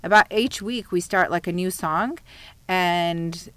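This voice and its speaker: A woman's voice: on the low side, slow, clear, resonant tone, dry recording. A middle-aged woman who grew up speaking Iraqi Arabic, chatting enthusiastically as a guest about teaching. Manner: enthusiastic, animated when quoting students. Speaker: guest, Arabic program director. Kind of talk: casual interview chat.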